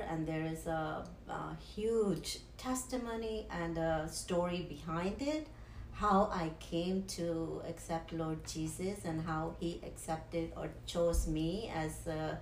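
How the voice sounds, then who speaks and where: A woman, giving a halting personal testimony as a guest, with many pauses and ums. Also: fairly low pitch, a little mellow, a little hiss or hum. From Punjab, India. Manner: halting, with frequent ums and restarts. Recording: echoey room.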